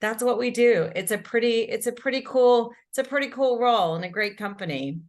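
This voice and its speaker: A woman's voice: a little high, fairly quick. A woman from Houston speaking as an interview guest, upbeat and conversational, often repeating her phrases. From Houston, Texas. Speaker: guest, company director.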